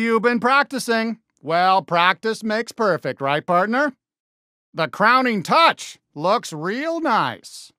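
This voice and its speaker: A man voicing a friendly, earnest, excitable cartoon cowboy sheriff for a video game, with an American accent and a folksy drawl full of cowboy slang. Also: high-pitched, no room sound, clean studio sound, exaggerated voice. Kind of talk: animated game character voice lines.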